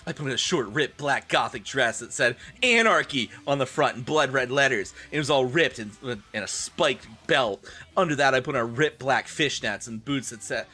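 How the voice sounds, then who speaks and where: A man streaming a comedic dramatic reading in a theatrical, expressive manner. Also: medium pitch, moderate pace, slightly rough, faint music behind.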